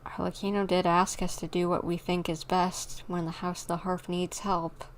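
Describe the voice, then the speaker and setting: A woman livestreaming a game, commenting and reading dialogue aloud, excitable and cheering herself on. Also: on the low side, normal speed, background noise. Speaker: game streamer.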